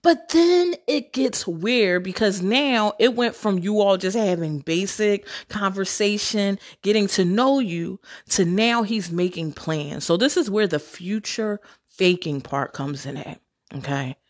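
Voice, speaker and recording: A woman's voice: mid-range voice, a little slow. A woman hosting a casual storytelling podcast, candid and sassy, swearing freely, laughing often and calling her listeners sisters. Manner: addresses listeners as sisters and girl. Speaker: podcast host.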